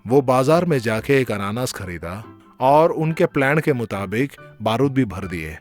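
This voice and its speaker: A man's voice: mid-range voice, lively intonation, measured pace. A man telling a scripted children's story as its narrator. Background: music.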